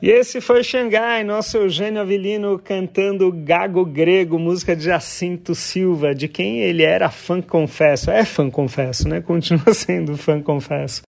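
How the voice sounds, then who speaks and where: High-voiced gravelly radio host. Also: male voice, normal speed, few pauses. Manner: relaxed, chatty, with asides. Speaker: radio host presenting music. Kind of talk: casual radio presenting.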